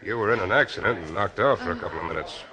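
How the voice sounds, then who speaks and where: An actor playing a steady, kindly motorcycle policeman in a 1940s radio drama, plainspoken, folksy and polite. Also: sits low, very fast, a crowd in the background. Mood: gentle, sober. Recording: old radio drama recording.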